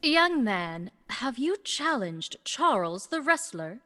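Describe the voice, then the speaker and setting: A woman's voice: a little high, big pitch swings, slow, bright, forceful tone, full character voice. A woman playing a wistful but witty young noblewoman, a banished duke's daughter, in a Shakespearean radio play. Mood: curious.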